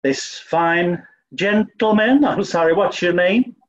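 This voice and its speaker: Man as fake Southern US cleaning lady, high voice, drawling, over-polite, bumbling radio comedy, dull sound. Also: slow pace. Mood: bluffing sweetly. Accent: put-on American South. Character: toff unconvincingly posing as a Southern cleaning woman. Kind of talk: 1940s radio comedy acting.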